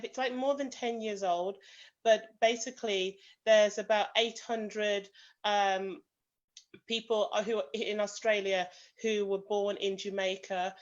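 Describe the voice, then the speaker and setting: A woman's voice: medium pitch, muffled recording. A woman with a British accent, a panel guest on a video call, giving a prepared talk with many "um" fillers and hedges like "kind of". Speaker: association president, panel guest.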